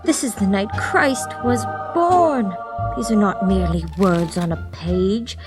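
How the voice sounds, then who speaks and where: A woman voicing a stern elderly nun in an animated audiobook, terse and scolding as an exasperated choir director. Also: mid-range voice, unhurried, music in the background, lightly acted. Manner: terse, scolding, calls people dear.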